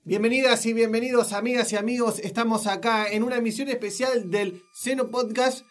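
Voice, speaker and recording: Chatty Argentine podcast host. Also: male voice, very high-pitched, steady tone, a little fast, faint music behind. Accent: Argentine Spanish. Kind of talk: casual podcast chat.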